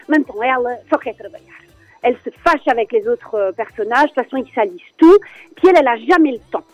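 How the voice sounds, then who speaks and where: A French woman with a French accent, a children's singer chatting as a radio guest, lively and quick to laugh. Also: fairly high pitch, no echo, soft background music, rough recording. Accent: French with Alsatian touch. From France. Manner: animated, laughs often, many fillers. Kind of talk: casual radio interview chat.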